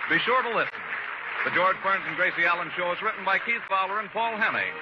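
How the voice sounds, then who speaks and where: A man's voice: sits high, expressive, fast talker, over loud music, a loud crowd. A man working as a show announcer, reading scripted copy with polished, emphatic 1940s radio delivery. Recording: muffled, hissy old radio recording.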